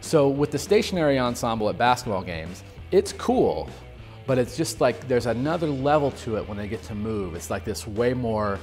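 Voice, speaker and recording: A man on a music school's staff answering interview questions in informal, halting phrasing. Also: medium pitch, big pitch swings, a little mellow. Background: faint music.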